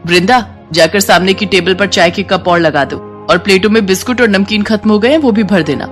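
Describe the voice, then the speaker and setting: A woman acts out a rich, haughty housewife in a children's moral story. She is curt, bossy and quick to scold, snapping out orders impatiently. Music plays behind the voice. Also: medium pitch, close to an everyday voice.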